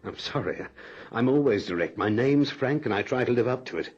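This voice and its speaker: An actor playing a direct, talkative, self-assured suitor in a radio drama, teasing and persistent. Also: male voice, mid-range voice, expressive, a little fast, breathy edge. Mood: flirtatious, breezy. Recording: dull lo-fi old radio recording.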